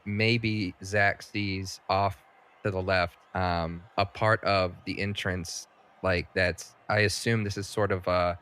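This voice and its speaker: A man playing a tabletop role-playing game, casually and somewhat tentatively pitching ideas and asking questions. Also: steady tone, slow, fairly bright tone, smooth.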